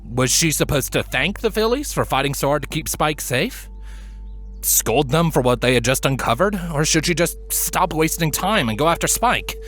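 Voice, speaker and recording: A man narrating a scripted story in an expressive, dramatic manner. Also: a little high, moderate pace, soft background music. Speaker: fan fiction reader, narrator.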